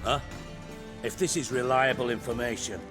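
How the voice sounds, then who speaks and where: An actor playing a shrewd underworld fixer in a video game, speaking in a measured, sardonic manner. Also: male voice, medium pitch, steady tone, over music, natural voice. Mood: wry, shrewd.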